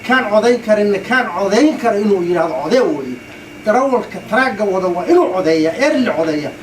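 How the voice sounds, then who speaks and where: A man's voice: high voice, animated, fast, a crowd in the background. A man giving an emphatic, rallying political speech, repeating points and putting questions to listeners. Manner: emphatic, repetitive, rhetorical questioning. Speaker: community elder speaking at a political meet-up. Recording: distant mic in noisy echoey room.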